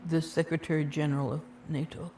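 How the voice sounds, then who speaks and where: A woman's voice: low-pitched, flat delivery, fairly quick, poor audio. A woman interviewed in a documentary clip, recounting in a plain, matter-of-fact way how she met someone online. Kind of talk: recounting a personal story. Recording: clip with soft music underneath.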